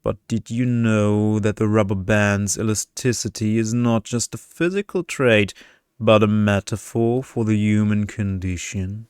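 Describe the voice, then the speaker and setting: A man's voice: lowish voice, steady tone, measured pace, darkish tone, clean tone, dry recording, clean studio sound, natural voice. A man voicing a pedantic, seductive energy vampire in an ASMR story, lecturing in a pompous drone. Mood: grandly pedantic. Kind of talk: ASMR storybook character reading.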